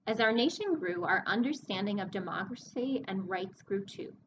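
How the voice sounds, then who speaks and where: A woman librarian reading a picture book aloud to children, expressive and explanatory, pausing to speak to her young listeners. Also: medium pitch, muffled recording, slight background noise. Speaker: children's librarian hosting story time.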